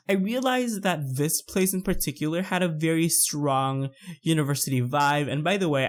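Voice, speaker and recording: Chatty young Filipino man, slightly high. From Philippines. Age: 20s. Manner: chatty, rambling, many fillers. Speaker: YouTuber narrating a build. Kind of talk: casual voice-over commentary.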